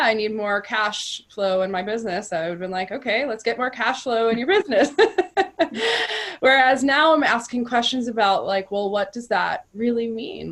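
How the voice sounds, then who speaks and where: A woman guest in a casual interview, talking frankly and animatedly, often laughing. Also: lowish voice. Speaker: coaching client, guest.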